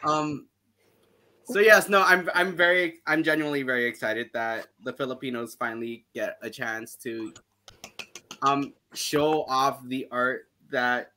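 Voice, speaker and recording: Male podcast host, cheeky and theatrical, with a bright, crisp voice. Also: medium pitch, slight background noise. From Canada. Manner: playful, theatrical, cheeky intros. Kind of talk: casual podcast chat.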